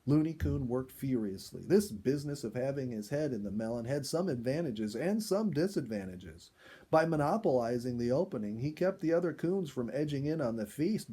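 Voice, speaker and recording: A man reading a children's story aloud as an expressive storyteller in scripted narration. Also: mid-range voice, breathy edge.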